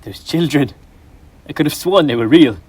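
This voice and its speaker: A man's voice: a little high, expressive, normal speed, background noise, close to an everyday voice. A young man playing an old miser in a school stage play, talking earnestly and imploring, with music behind. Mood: fearful. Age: teens. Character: old male miser Scrooge, fearful and pleading. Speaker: stage actor.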